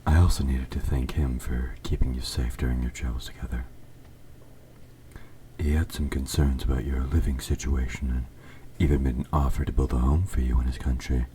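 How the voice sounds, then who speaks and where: Evil fantasy king for audio drama, played by a man: extremely deep, dark tone, measured courtly diction, smooth-talking with quiet menace. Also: steady tone. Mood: courteous, controlling. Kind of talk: scripted audio roleplay addressed to the listener. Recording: faint background noise.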